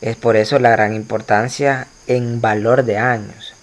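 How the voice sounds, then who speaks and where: A man lectures his students through a slide presentation, his voice sitting at an ordinary middle pitch. He explains patiently, stopping for fillers and correcting himself as he goes, and addresses the class directly. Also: steady tone, measured pace. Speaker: teacher.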